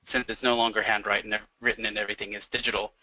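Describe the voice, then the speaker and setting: A young man who works as a software developer, explaining a product casually on a webinar with frequent "um" and "like". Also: on the low side, flat delivery, quick pace, no echo, low-quality recording. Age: young adult. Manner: fluent, uses fillers like "um" and "like".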